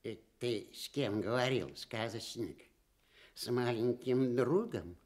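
An actor playing a little forest gnome, excitable and full of wonder, in a Soviet children's radio play. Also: male voice, medium pitch, slow, natural voice.

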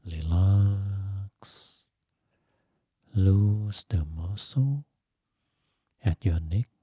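Very slow, low-voiced man guiding meditation. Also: slightly rough, dry recording, lo-fi sound. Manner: gentle, instructive, phrase by phrase with long pauses. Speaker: Buddhist monk and meditation teacher. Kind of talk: guided meditation.

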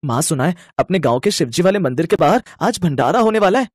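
A woman's voice: on the low side, animated, fast, darkish tone, dry recording. Storybook role: hungry village lad, childlike, eager, dry sound female performer. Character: poor, eager, hungry little village boy.

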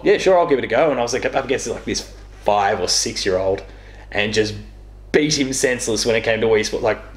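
A young man telling gaming stories in casual chat as a guest, in a rambling, self-correcting way. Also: medium pitch. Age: young adult. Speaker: interview guest. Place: small living room, on a couch. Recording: echoey living room.

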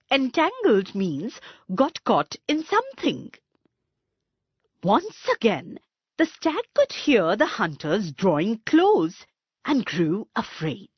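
Very animated woman narrating for children. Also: on the high side, husky, dry recording, dull audio. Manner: expressive, instructional. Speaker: storyteller, narrator. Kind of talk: scripted children's story narration with word definitions.

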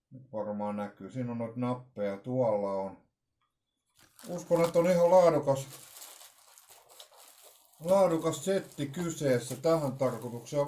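A man's voice: medium pitch, warm tone. Hesitant middle-aged man in an echoey room. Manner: hesitant, rambling, repeats words. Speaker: vlogger presenting an unboxing. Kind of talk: casual unscripted product unboxing talk. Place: small room at home, indoors. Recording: roomy, echoey home recording.

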